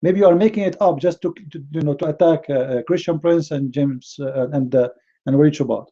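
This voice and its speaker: Arabic-accented man, indignant rebuttal, raised pitch, muffled lo-fi sound. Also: fairly quick, warm tone. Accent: Middle Eastern Arabic. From Middle East. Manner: indignant, repeats words and restarts often. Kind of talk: heated rebuttal to a clip.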